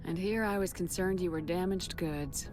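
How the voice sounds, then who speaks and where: A woman's voice: fairly low pitch, moderate pace, lightly acted. A woman playing a composed, decisive military commander in a video game, with a direct and controlled way of speaking. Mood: calm, probing. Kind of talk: video game dialogue acting. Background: music.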